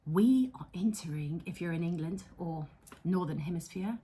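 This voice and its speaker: A middle-aged South African woman hosting a casual vlog, chatty and self-deprecating, dropping into soft whispery asides. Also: lowish voice, moderate pace, husky. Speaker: psychotherapist and channel host. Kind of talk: casual talking-to-camera vlog. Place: her counselling room.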